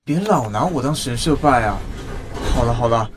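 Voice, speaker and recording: A man's voice: mid-range voice, animated, moderate pace, background noise, close to an everyday voice. An actor playing a reserved, teasing young male design student in an audio drama, with a Taiwanese accent. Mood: dry, teasing. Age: young adult. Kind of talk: audio drama acting with narration.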